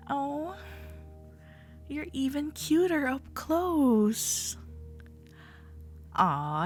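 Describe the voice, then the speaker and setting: Casting: actress as tender, teasing female cuddle companion for comfort audio roleplay. Leisurely delivery, soft and breathy, attentive, light music underneath. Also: medium pitch. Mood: soothing, teasing. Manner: tender, soft-spoken, often dropping into a breathy whisper. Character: affectionate, gently teasing cuddle club woman, soothing and attentive. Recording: soft cafe jazz music behind. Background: soft background music.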